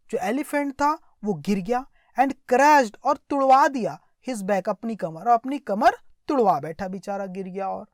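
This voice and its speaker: A woman's voice: medium pitch, dry recording, clean studio sound. A woman with an Indian accent teaching through a story, explaining it line by line and repeating herself with little check questions. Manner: explanatory, repetitive, with check questions and slowly read-out sentences. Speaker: English teacher. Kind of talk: line-by-line story lesson with translation and word explanations.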